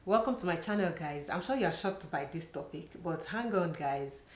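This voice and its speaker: A woman's voice: lowish voice, lively intonation, moderate pace, over music. Chatty Nigerian woman in an echoey room. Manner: earnest, chatty, frequent "you know" fillers. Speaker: vlogger. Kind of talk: personal storytelling to camera.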